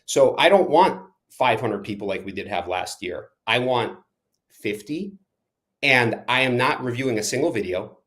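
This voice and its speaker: A middle-aged man from Ukraine talks as an interview guest. His mid-pitched voice is blunt and emphatic, and he leans hard on repeated key words. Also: expressive, normal speed, a bit bright. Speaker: club owner and attorney, guest. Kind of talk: podcast interview answers.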